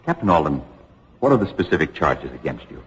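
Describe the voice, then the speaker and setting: A man's voice: a bass voice, sing-song, fairly quick, slight background noise. A man playing a sober radio correspondent, factual and composed, in vintage 1940s news style. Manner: crisp phrasing, factual, composed. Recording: old radio, long hollow reverb.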